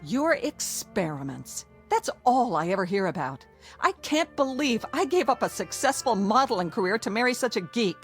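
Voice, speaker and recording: A bossy, contemptuous wife who never stops finding fault. Her delivery is sarcastic and highly animated, swinging widely in pitch. It is radio drama, with faint music behind the dialogue. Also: normal speed. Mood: irritated scolding. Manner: scolding, sarcastic, complaining. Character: shrill, domineering, bigoted nagging wife, former model.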